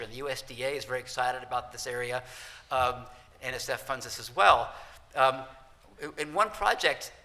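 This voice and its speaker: A man's voice: mid-range voice, sharp tone. A middle-aged man giving an enthusiastic conference keynote from a podium, often restarting his phrases. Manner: enthusiastic, with frequent restarts and fillers. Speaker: keynote speaker. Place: large conference hall, at a podium. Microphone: podium microphone. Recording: reverberant hall.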